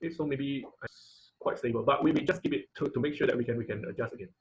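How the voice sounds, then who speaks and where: A man giving an informal live technical lesson as an instructor, speaking haltingly, repeating phrases and often checking in with "okay" and "right". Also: mid-range voice. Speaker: online class instructor.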